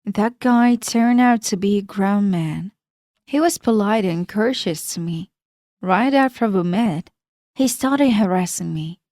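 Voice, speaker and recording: A woman's voice: mid-range voice, a little slow, darkish tone, dry recording, studio quality. A woman narrating a first-person life story in a plain, matter-of-fact reading.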